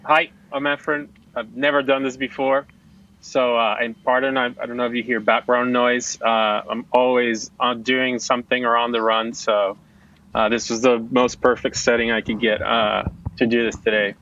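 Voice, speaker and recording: A man's voice: mid-range voice, level tone, normal speed, fairly bright tone. Puerto rican guy chatting loosely, lots of ums, slightly dull sound with background noise. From Puerto Rico. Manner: chatty, rambling, many fillers. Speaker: guest. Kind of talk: casual conversation. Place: outdoors-ish home with background noise.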